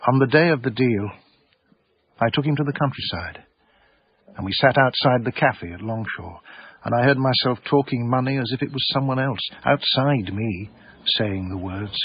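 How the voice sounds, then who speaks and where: An actor playing an anxious, earnest small-time English art dealer in a radio drama, hesitant and self-effacing, with an English accent. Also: male voice, mid-range voice, moderate pace, breathy edge, dry recording, highs cut off. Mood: reflective. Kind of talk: radio drama acting and first-person narration.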